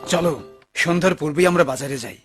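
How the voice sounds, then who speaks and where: A patient, generous and devout man in a cartoon, played by a male actor. His voice sits a little high and he reasons calmly, with gentle admonishment. Light music plays underneath. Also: fast talker, natural voice. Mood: earnest. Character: pious, patient, generous male garden partner. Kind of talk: dubbed cartoon dialogue.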